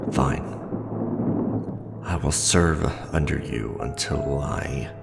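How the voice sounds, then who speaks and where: A man's voice: extremely low pitch, creaky, heavily acted. A man playing a proud young necromancer in a fantasy ASMR roleplay, speaking formally and earnestly. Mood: resigned, submissive. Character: proud young necromancer, defiant orphan of royal blood. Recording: long hall reverb, loud music underneath.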